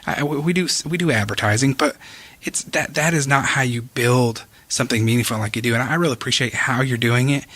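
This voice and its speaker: Man, interview host, rapid pace, raspy edge, casual and affirming. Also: mid-range voice, a bit bright, no echo. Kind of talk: conversational podcast interview.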